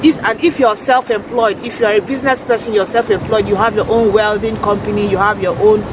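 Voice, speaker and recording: Hoarse woman vlogging outside. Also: mid-range voice, steady tone, a little fast, crowd noise. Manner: earnest, explanatory, with fillers and restarts. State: hoarse, losing her voice. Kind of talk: casual informational vlog. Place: outdoors on a city street. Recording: noisy street recording, distant from mic. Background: traffic and urban ambience.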